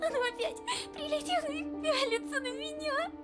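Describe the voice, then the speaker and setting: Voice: very high voice, fairly quick, over loud music. An actor voicing a frightened, tearful little girl who pleads and whimpers in a visual novel. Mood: frightened, pleading.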